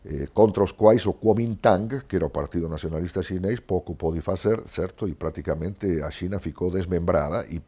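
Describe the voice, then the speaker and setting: Older man, very low voice, dark relaxed tone, Argentine accent, Spanish native, discursive interview talk, frequent checking tags. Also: a little fast, hardly any pauses. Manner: discursive, often ending on a checking tag question. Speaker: guest historian and professor. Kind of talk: conversational interview, explaining history.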